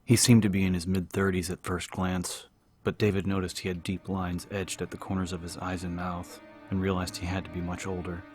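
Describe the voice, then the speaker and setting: A man's voice: low voice, little pitch movement, fairly quick, faint music behind. A man giving a dramatic scripted narration as an audiobook narrator.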